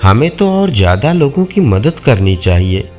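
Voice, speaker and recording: A soft-tempered, devout village husband in a moral tale, acted out by a man. He speaks slowly and earnestly with a lively melody. Background music runs underneath. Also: medium pitch, pitched below the performer's own voice. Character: gentle, devout, generous young village husband.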